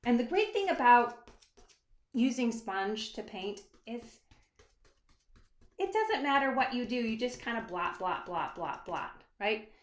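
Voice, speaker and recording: A woman's voice: a little high, sing-song, a little slow. A woman giving a casual, encouraging, unscripted step-by-step craft tutorial for children. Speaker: children's author leading a craft demonstration. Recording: roomy echo, faint background noise.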